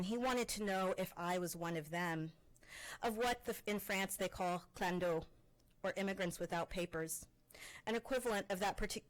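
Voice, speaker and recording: A Canadian-born woman presenting as a faculty member, reading her personal essay aloud in a measured, expressive way with pointed pauses. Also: medium pitch, rough recording.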